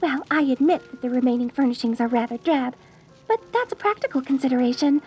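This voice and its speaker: A woman playing a sweetly deranged, lovestruck mortician bride in a dark comedy audio roleplay, cooing genteel endearments at the listener with theatrical menace. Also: high voice, expressive, fast talker, breathy edge, faint music behind, rough recording. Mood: cheerfully menacing.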